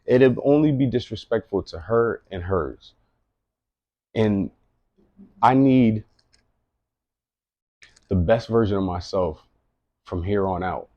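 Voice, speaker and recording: A man's voice: on the low side, animated, slow pace, pauses often, warm tone, no room sound, highs cut off. A man in his late thirties giving an earnest, rambling personal monologue, often repeating himself. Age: late 30s. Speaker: vlogger.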